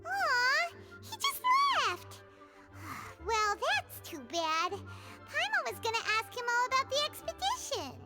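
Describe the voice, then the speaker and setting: A woman voicing a tiny, excitable, greedy fairy girl companion in a video game, with a squeaky, childlike manner. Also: slow, over loud music, heavily acted. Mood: disappointed. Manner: childlike, squeaky, refers to self by name.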